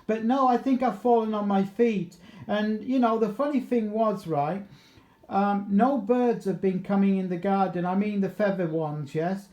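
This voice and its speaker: A man's voice: high-pitched, moderate pace, darkish tone, lo-fi sound. A middle-aged man working as an amateur radio operator, chatting casually and rambling along with bits of radio jargon. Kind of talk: casual radio contact chat. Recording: roomy, echoey room sound.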